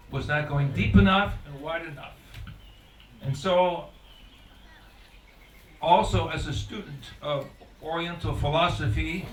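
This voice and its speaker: An older man lectures in formal, measured phrases. His voice is a little high for a man and carries through a very echoey room from some distance, with faint noise behind it. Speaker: guest conference speaker, scholar. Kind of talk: public lecture.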